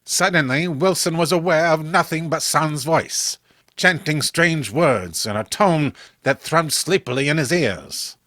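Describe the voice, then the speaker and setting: A man's voice: fairly high pitch, measured pace, fairly bright tone, dry recording, clean studio sound. A man narrating horror comic stories in a dramatic, scripted storytelling style.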